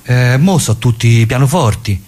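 A man's voice: medium pitch, expressive, measured pace, darkish tone. A man playing a blunt young male pupil who questions his teacher in a radio reading. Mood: teasing. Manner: blunt, joking, incredulous.

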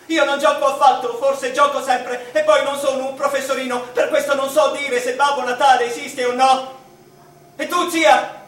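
A man's voice: extremely high pitch, a bit bright. A male actor playing a tormented, eccentric mathematician in an emphatic, declamatory radio drama monologue. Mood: defiant, sardonic. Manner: emphatic, declamatory, with deliberate repetitions. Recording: distant echoey room with faint background noise.

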